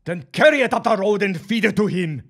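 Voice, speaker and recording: A man's voice: high voice, expressive, brisk. An actor playing a troubled, remorseful immigrant husband and reluctant henchman in an audio drama, speaking in a formal, melodramatic, lamenting way. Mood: irritable. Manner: melodramatic, lamenting, formal old-fashioned phrasing.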